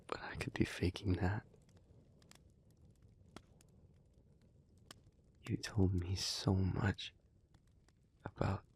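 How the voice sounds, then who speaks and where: A man playing an earnest, guilt-ridden demon in love, speaking in a hushed, breathy, halting voice in an intimate audio roleplay. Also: low-pitched, full character voice. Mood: earnest, resigned. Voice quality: breathy, husky, whispery. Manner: slow, halting, hushed delivery with pauses.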